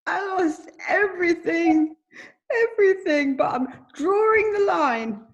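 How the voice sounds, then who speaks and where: Woman playing a slow, mournful man. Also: extremely high pitch, animated, dull audio, heavily acted. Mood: tearful. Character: nervous, broken forty-year-old man, mournful. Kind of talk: script table read. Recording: video call table read.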